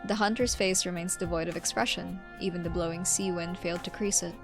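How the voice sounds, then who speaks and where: A woman's voice: fairly low pitch, steady tone, fairly quick, faint music behind, lightly acted. A woman voicing the narrator of a game story, reading literary descriptions seriously. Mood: serious, descriptive. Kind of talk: scripted narration read aloud.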